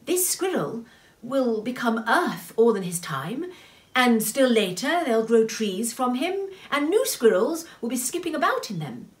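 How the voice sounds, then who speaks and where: A woman voicing a calm, practical storybook woman, plain-spoken and kindly. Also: a little high, normal speed, distant mic, voice pushed up. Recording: echoey room.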